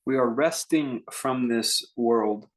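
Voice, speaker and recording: A man guiding a meditation in an informal, conversational way, speaking in short phrases with unhurried pauses between them. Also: medium pitch. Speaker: meditation teacher leading a guided meditation. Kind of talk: unscripted guided meditation talk.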